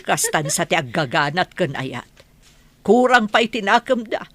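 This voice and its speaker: A woman's voice: lowish voice, sing-song, a little slow, no echo. A woman with an Ilocano accent playing a gossipy, motherly older village woman in a radio drama.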